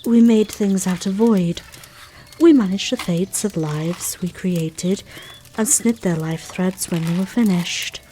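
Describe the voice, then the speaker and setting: A woman playing a calm, wistful fate spirit curious about mortals, with measured delivery in an audio drama. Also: fairly low pitch, a little hiss or hum. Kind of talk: scripted audio drama dialogue.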